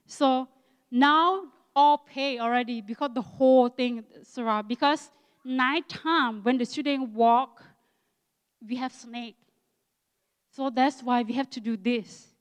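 A woman in her sixties with an Akha Thai accent gives a church talk in English that is not her first language, pausing to find words. Her voice sits a little high and comes through smooth and clear. A long reverb trails after her in the hall. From Thailand. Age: 60s. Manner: halting, searching for words, frequent fillers. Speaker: guest missionary speaker.

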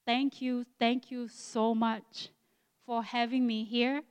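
A woman in her sixties with an Akha Thai accent gives a church talk in English that is not her first language, pausing to find words. Her voice sits a little high and comes through smooth and clear. A long reverb trails after her in the hall. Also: little pitch movement. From Thailand. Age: 60s. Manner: halting, searching for words, frequent fillers. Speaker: guest missionary speaker.

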